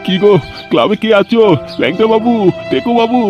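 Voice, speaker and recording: A grumbling older village man, acted by a male performer, speaks high and quickly. He is bossy, crude and teasing in comic cartoon style, on a dull lo-fi track with music behind. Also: expressive. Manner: bossy, complaining, calling out.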